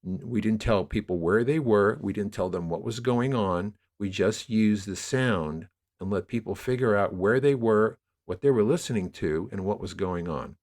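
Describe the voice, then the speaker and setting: A man in his sixties talking as an interview guest, telling stories conversationally in a halting, emphatic way as he thinks aloud. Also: lowish voice, a little mellow. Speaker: podcast guest, audio drama producer.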